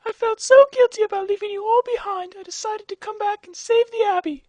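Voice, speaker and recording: A performer voicing a sarcastic, bossy young female woodland creature in a comedy cartoon parody, with a squeaky voice and dry delivery. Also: fairly even intonation, fairly quick, a little mellow, dry recording. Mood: smug.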